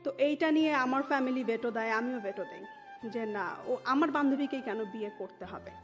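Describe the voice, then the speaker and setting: A woman's voice: high-pitched, a little fast. A woman in her thirties with a Dhaka Bangladeshi accent tells her personal life story as an interview guest, dropping English words into her speech. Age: 30s. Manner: mixes English words into Bengali. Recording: dull muffled sound with music underneath. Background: music.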